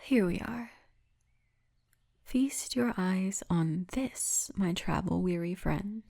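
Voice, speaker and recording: A woman's voice: fairly low pitch, slow, warm tone, full character voice. A woman playing a big, confident female orc militia officer who teases as she guides, in an ASMR roleplay with formal phrasing. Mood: teasing, sly. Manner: teasing, confident, formal turns of phrase, often breathy murmur.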